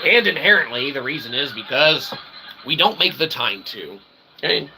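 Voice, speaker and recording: A man's voice: mid-range voice, animated, normal speed. A man delivering a rambling comedic pseudo-philosophical monologue as a streamer, mixing mock-formal wordy phrasing with crude swearing and short tag questions. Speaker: solo streamer and channel host. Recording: clearly noisy, with ambient background sound.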